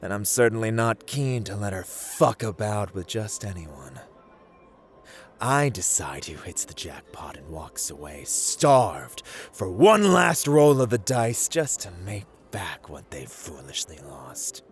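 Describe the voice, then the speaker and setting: A man acts out an arrogant, playful god of gambling and luck in an ASMR roleplay. His low male voice moves slowly and swings widely, savoring dramatic pauses. He sounds amused, condescending and a little dangerous as he teases the listener. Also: big pitch swings, soft background music, full character voice. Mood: smug, dangerous. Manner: teasing, drawling, dramatic pauses, chuckling condescension.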